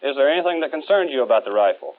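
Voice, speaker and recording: A man's voice: medium pitch, lively intonation, racing, natural voice. An American man playing a firm, methodical Marine rifle instructor, lecturing with emphatic authority in a 1960s training film. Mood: brisk, questioning. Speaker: military marksmanship instructor. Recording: tinny old 1960s film soundtrack.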